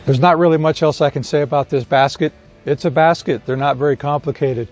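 A middle-aged man reviewing a roof cargo basket outdoors beside his car, talking in a relaxed, explanatory way with fillers and restarts. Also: fairly high pitch, brisk. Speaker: product reviewer. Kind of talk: casual product review to camera.

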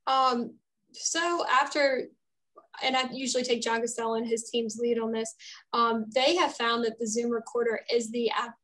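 A woman's voice: a little high, rough recording. A young woman answering questions informally as a specialist on a webinar panel over a video call. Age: young adult. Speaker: speech-language specialist on a panel. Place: small office, indoors.

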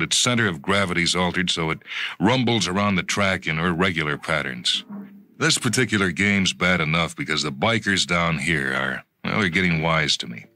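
A man's voice: low voice, bright, forceful tone. A man narrating a short story in the first person, with a conversational, emphatic delivery.

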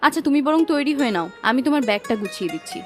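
A woman acts out a homely cartoon housewife with a fairly high voice. She fusses, coaxes and gently scolds with care. Music plays underneath. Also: animated, rapid-fire. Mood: fussing, chiding. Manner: homely, fussing, coaxing. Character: caring, gently scolding Bengali village housewife. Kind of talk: cartoon fairy-tale voice acting.

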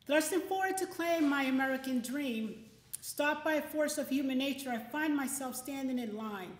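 A woman's voice: high voice, moderate pace. A middle-aged woman hosting and reading at a poetry event, speaking emphatically into a stand microphone indoors. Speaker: poetry event host and poet. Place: indoor library event room. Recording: roomy, echoey event space.

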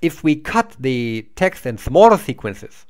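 A middle-aged man lecturing from a small room to a webcam. His pitch moves up and down a lot as he explains step by step, and he often repeats or restarts a word mid-sentence. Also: sing-song, measured pace. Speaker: university lecturer. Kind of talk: recorded lecture over slides.

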